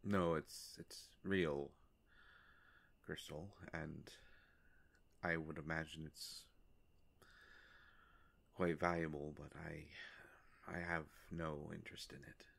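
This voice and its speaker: A man plays an ancient, courtly vampire in an intimate audio roleplay. The voice sits very low with a heavy creak. He speaks very slowly and formally, with melancholy, hushed hesitations. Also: flat delivery, heavily acted. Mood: grateful, hesitant. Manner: formal, halting, hushed, measured phrasing.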